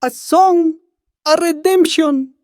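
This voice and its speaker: A woman's voice: very high-pitched, slow pace, a little mellow, no room sound, clean studio sound. A woman voicing a plucky tiny dinosaur pop star in a puppet show, earnest and a little dramatic. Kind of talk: puppet show character acting.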